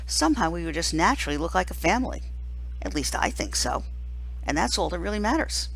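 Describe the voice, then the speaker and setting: A woman reading a first-person children's novel aloud like a teacher, expressively, with deliberate emphasis and dramatic pauses. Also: fairly low pitch, breathy edge, rough recording.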